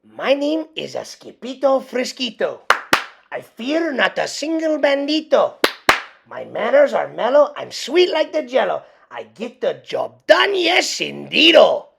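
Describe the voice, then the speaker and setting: Reader voicing an animated, boastful little kitten. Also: mid-range voice, unhurried, creaky, heavy background noise, voice pushed up, exaggerated voice. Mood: singsong boasting. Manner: theatrical, singsong boasting. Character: excitable boastful little kitten posing as Chihuahua sword fighter.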